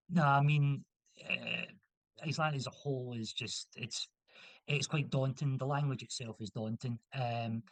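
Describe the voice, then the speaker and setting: Young man, from Scotland, rambling casual talk, mid-pitched, dry and close. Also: no room sound. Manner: rambling, many restarts and fillers. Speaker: interview guest. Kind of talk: casual conversational interview.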